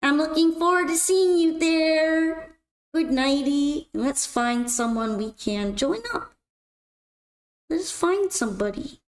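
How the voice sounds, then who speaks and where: Young woman streamer, high voice a bit raspy n tired, chatty, roomy echo. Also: takes it slowly. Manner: chatty, rambling, upbeat sign-off. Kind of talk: casual gameplay stream chat.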